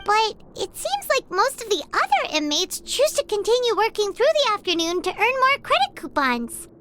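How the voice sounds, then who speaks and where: A woman voicing a tiny, chirpy, childlike fairy companion in a video game, squeaky and excitable. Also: normal speed, noisy background. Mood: explaining. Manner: exclamatory, refers to itself by name. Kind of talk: English video game voice acting.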